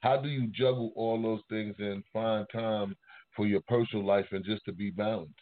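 A man hosting a casual interview, speaking haltingly and repeating words as he goes. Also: fairly low pitch, steady tone. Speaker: interviewer, host. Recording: thin phone-like call audio.

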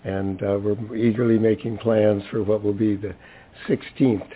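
An older man with a hoarse voice gives an informal, chatty, lightly humorous introduction at a public event. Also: on the low side, level tone, normal speed. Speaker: library center director introducing a speaker. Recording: thin, phone-like event recording.

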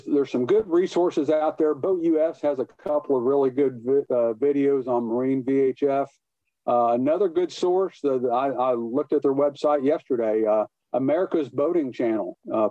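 A man's voice: mid-range voice, dark tone. Man in an echoey, muffled recording. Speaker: guest expert, boating safety educator. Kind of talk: informal explanatory interview talk. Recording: muffled, roomy, echoey sound.

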